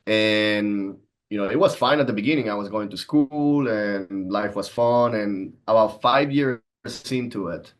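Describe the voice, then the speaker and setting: Man, thirties, mid-pitched voice, conversational storytelling, podcast guest. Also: a little slow. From Venezuela. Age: 30s. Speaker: podcast guest, entrepreneur and coach. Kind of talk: conversational personal storytelling and explanation.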